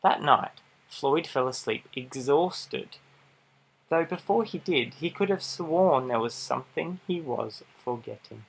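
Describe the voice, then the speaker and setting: Expressive man reading a children's storybook. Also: a little high, gravelly, slight background noise, poor audio. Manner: expressive, dramatic pauses and playful exclamations. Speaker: storybook reader. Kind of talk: animated read-aloud of a children's picture book with asides to young listeners.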